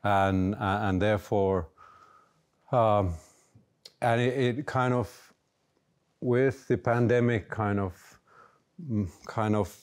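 A Finnish-accented man in his fifties speaks in a very deep, unhurried voice. He is thoughtful and halting, often restarting his sentences and padding them with fillers as he answers panel questions. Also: fairly even intonation, darkish tone, clear, resonant tone. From Finland. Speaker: panel guest, education leader. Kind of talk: panel discussion. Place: indoor library room with bookshelves.

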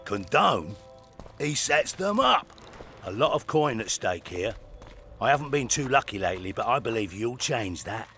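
An actor playing a boastful, scheming fight promoter in a video game, with a grandiose way of talking. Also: male voice, mid-range voice, very animated, moderate pace, bright, forceful tone, soft background music. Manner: grandiose, speaks of himself in third person.

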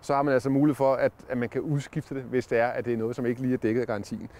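A man's voice: mid-range voice, little pitch movement, darkish tone. A man in his forties giving a casual product review on a campsite lawn, talking with many fillers and restarts into a lapel mic. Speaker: product tester.

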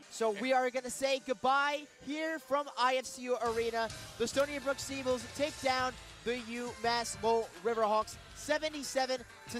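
This is a man with a very high-pitched voice calling basketball live on the radio. He is an excitable announcer who raises it to a shout for big plays. He is in an indoor arena.

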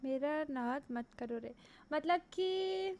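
A young woman with an Indian accent chats casually in a very high voice. She takes her time, sounding amused as she echoes phrases back like a live game streamer. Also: lively intonation, slow, a little mellow. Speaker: gaming streamer reacting. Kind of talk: casual live reaction, mixing Hindi with some English. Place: indoor room at a streaming setup.

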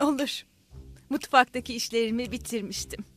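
An easygoing cartoon mother, played by a woman, with a fairly high voice. She talks conversationally. Music is clearly heard behind her. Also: normal speed, close to an everyday voice. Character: easygoing young mother and aunt. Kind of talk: children's cartoon dialogue.

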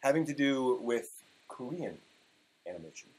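A man in his forties giving a mock-dramatic, emphatic reading of an internet post, halting here and there over the text. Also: mid-range voice, big pitch swings, slight background noise, poor audio. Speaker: performer reading old forum posts. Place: small room at home, indoors.

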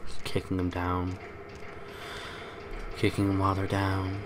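A young man with a bassy voice, hosting a let's play with casual, joking commentary and humming. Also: completely flat delivery, measured pace, noisy background. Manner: joking, hums and sings to himself, loud bursts.

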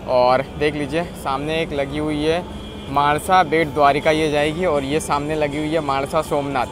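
A man's voice: a little high, fairly even intonation, moderate pace, over music. A man narrating a travel vlog from a bus and bus stops, chatty and full of fillers as he points things out to viewers. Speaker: travel vlogger. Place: on and around a long-distance bus in city streets.

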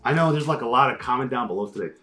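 A man's voice: mid-range voice, rapid-fire, faint music behind. A young man co-hosting, chatting casually and calling out card names as he opens packs. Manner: lively, calls out card names, excited outbursts. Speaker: co-host opening card packs. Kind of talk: casual chat while opening packs.

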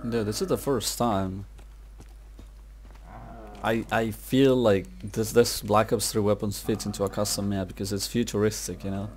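A man's voice: lowish voice, steady tone, normal speed, darkish tone, a little hiss or hum. A young man giving casual gameplay commentary in slangy language, muttering to himself as he plays. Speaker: gamer, video creator. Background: video game music and sounds.

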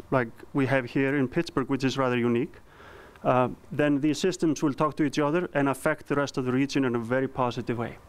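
Icelandic-accented man, smooth and resonant. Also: a little high. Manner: earnest, halting with frequent ums and restarts. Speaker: company founder giving a presentation. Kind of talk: conference presentation. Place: conference room. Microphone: handheld mic.

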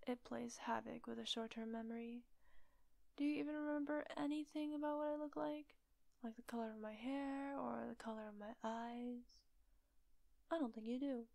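A woman playing a teasing, manipulative villain kidnapper in roleplay audio, softly half-whispering in a coaxing, unhurried way. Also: on the high side, no room sound. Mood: calm, teasing. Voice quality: soft, breathy, often whispery. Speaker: voice actor.

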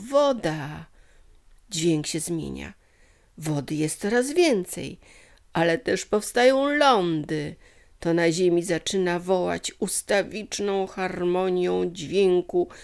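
A woman's voice: fairly low pitch, creaky, darkish tone. A woman voicing a dreamy male professor in a children's story, exclaiming in wonder and stretching out words. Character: dreamy male professor, awestruck by visions of creation.

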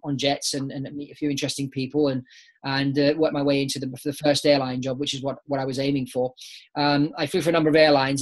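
A British man in his forties, a business founder, answering interview questions over a video call from a home office. He talks with his hands and often repeats words as he restarts. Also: fairly high pitch. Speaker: guest, aviation business founder. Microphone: laptop webcam on a video call.

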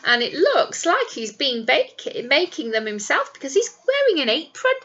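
A woman teacher talks to small children as she shares a picture book. Her voice is bright and rather high, swinging widely in pitch. She explains things and keeps checking in with questions. The sound is slightly dull. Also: very animated, moderate pace. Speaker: primary school teacher. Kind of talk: reading a picture book aloud to young children. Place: small indoor classroom. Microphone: webcam or device at arm's length.

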